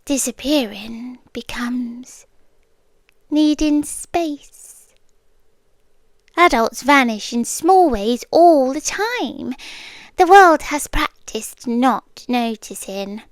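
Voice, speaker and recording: British woman doing a creepy obsessed girlfriend, high voice, slow soft whispers, really dry close mic. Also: animated, no room sound, heavily acted. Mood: calm obsessive. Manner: soft-spoken, often slipping into whispers. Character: obsessive romantic young woman kidnapper, calmly sincere. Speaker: ASMR roleplay creator. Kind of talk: ASMR roleplay.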